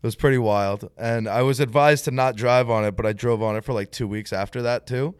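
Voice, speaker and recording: Animated young-sounding man, smooth dry voice. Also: medium pitch, fairly quick, dry recording. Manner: animated, lots of "like" fillers. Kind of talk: casual car chat.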